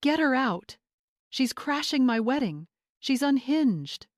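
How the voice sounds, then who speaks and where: Woman voicing a sharp, shrill entitled young bride. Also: sing-song, takes it slowly, no echo, studio quality, full character voice. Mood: shrill fury. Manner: hissing, demanding.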